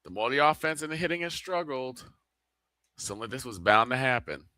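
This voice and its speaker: A man giving measured color commentary on a baseball game. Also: mid-range voice, expressive, pushed and bright, no echo. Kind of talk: game analysis.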